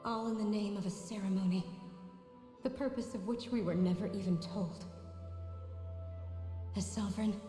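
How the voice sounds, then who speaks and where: A woman playing a remorseful young maiden with prophetic visions, speaking in a solemn, measured, regretful way in a video game monologue. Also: medium pitch, steady tone, warm tone, close to an everyday voice. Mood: solemn, haunted. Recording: long echoing reverb over background music.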